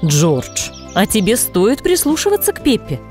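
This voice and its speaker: Woman voicing a caring, gently scolding mother pig. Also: medium pitch, huge pitch swings, normal speed, faint music behind. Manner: instructive, motherly. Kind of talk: children's toy-play cartoon dubbing.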